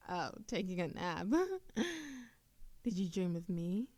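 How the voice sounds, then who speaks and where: A woman playing an affectionate, playful and easily flustered young witch in love, speaking softly and haltingly in an intimate romantic audio roleplay. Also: medium pitch, sing-song, no echo. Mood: teasing, affectionate. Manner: soft, halting, teasing, giggly.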